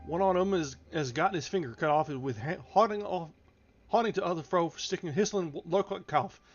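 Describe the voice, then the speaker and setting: A man voicing a gruff, sanctimonious old manservant, in halting, thick dialect. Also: fairly high pitch, dull audio, close to an everyday voice. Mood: grumbling relish.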